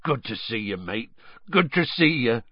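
A big, gruff gamekeeper, acted out by a male audiobook reader, fond and hearty, with a very lively, swooping delivery. He talks in loose colloquial phrases. The recording is dry and slightly dull. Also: mid-range voice, normal speed, dry recording. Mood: hearty welcome. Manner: gruff, colloquial, dropped words. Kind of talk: audiobook character voice.

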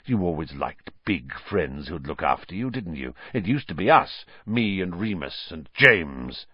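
Guy doing a low menacing growly fugitive man in a storybook, scornful and taunting, slightly muffled. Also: very animated, husky, no echo, full character voice. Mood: angry. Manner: slow, taunting, contemptuous. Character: bitter, menacing adult male fugitive, vengeful and scornful.

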